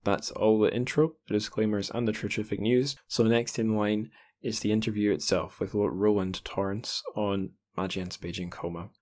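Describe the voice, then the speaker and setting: A Scottish man with a fairly low voice hosts a podcast in a relaxed, chatty way. He drifts into rambling asides as he talks. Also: normal speed. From Scotland. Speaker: podcast host. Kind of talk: casual podcast chat and intro.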